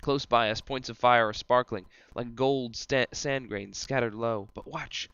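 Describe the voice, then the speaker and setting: Boisterous devil guide for verse drama, male reader: commanding, declamatory, forceful bright voice, close dry sound. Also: mid-range voice, fairly even intonation, unhurried, clean tone, no room sound, highs cut off. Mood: wondering. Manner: theatrical, declamatory. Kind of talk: amateur dramatic reading of verse.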